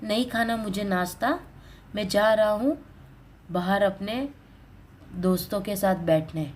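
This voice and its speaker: A woman's voice: medium pitch, unhurried, natural voice. A woman voicing a curt, grumpy husband in a dramatised story, brusque and dismissive. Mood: dismissive, annoyed.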